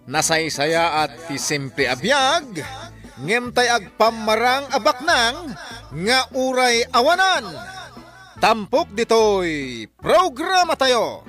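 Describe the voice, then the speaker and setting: Male Ilocano radio drama announcer, slow theatrical intro, high animated delivery. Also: soft background music. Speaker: programme announcer.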